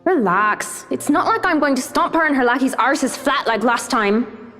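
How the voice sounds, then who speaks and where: A woman's voice: high voice, lively intonation, moderate pace, bright, forceful tone. An actress playing a bold, taunting schoolgirl heard as a phantom voice in a visual novel game. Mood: brash, protective. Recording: long reverb on the voice. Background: game music.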